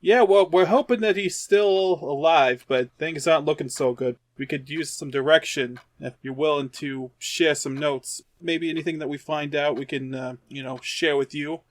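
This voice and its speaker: Private eye's unpolished male partner, player-acted, game role: hesitant, casual, fairly high voice, dry close sound. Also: dry recording, natural voice. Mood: earnest. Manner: hesitant, halting, informal. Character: rough-edged, unlearned, halting male investigator's partner.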